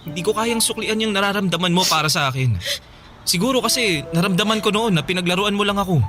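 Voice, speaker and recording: A man's voice: high-pitched, pushed and bright. An actor playing an earnest young man who firmly refuses a former love, emphatic and reasoning, in a radio drama. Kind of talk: Filipino radio drama acting.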